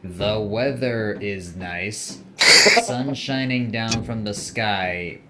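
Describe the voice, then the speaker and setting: Grumbling low-voiced cook. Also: male voice, very slow. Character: grumbling, sarcastic line cook, reluctant poet. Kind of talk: animated visual novel acting. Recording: music behind the voice.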